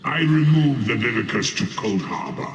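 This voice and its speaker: Gravelly, echoing demon lord. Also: male voice, medium pitch, lively intonation, lo-fi sound. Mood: menacing, gloating. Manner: slow, ominous, imperious. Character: gloating dark demon lord, male, menacing and contemptuous. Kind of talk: fantasy video game villain acting. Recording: echoing, distant, noisy game audio.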